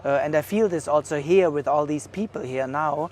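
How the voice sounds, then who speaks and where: A man's voice: fairly high pitch, moderate pace, darkish tone. A man with a German accent, a curator answering interview questions outdoors in a thoughtful, hesitant way with many fillers. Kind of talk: casual interview. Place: outdoors at an art exhibition.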